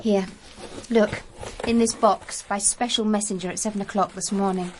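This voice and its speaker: A woman's voice: mid-range voice, steady tone, normal speed, darkish tone, a little hiss or hum, natural voice. An actress playing a self-assured, defiant, arty young woman in a radio drama, articulate and earnest. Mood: concerned.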